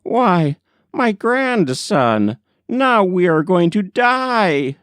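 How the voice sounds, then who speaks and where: A man voicing a fearful old grandmother in a folk tale, with drawn-out, fretful exclamations. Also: high-pitched, big pitch swings, a bit bright, no echo, pitched above the performer's own voice, exaggerated voice. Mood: alarmed.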